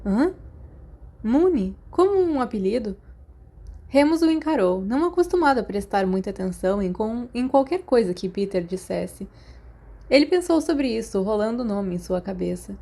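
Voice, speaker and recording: A woman narrates fiction in Brazilian Portuguese accent, reading scripted prose as an audiobook. Her voice is soft-edged and mellow, sitting at a middling pitch. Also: lively intonation, a little fast, vocal fry. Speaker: audiobook reader. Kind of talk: scripted storytelling narration with character dialogue.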